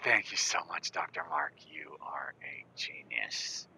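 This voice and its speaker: A man's voice: a bass voice, animated, measured pace, vocal fry, bright, forceful tone, slightly rough, no echo, in a whisper, exaggerated voice. An actor playing an anxious, earnest, lovestruck man with a horse, calling in to a comic audio drama. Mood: hushed gratitude. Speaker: caller character.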